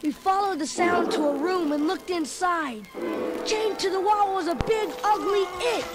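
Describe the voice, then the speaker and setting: A woman's voice: extremely high pitch, a little slow. A woman voicing a chubby, food-loving boy who narrates a storybook record with chatty, comic asides. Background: music.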